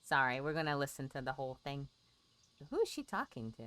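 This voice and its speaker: A woman's voice: rumbling low, very animated, brisk, fairly bright tone, smooth. A woman streamer giving casual, chatty game commentary, thinking aloud as she plays.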